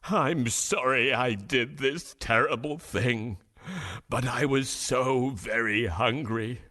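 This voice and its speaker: A man's voice: medium pitch, takes it slowly, pushed and bright, no echo, studio quality. A man voicing a sorry, greedy wolf in a children's cartoon story, groaning and whimpering as he pleads.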